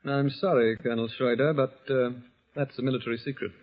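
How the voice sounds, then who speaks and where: An actor playing a defiant, scornful English resistance leader in a 1940s radio drama, speaking in a sardonic, dramatic way. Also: male voice, medium pitch, fairly even intonation, fairly quick, close to an everyday voice. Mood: calm scorn. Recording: muffled vintage radio recording.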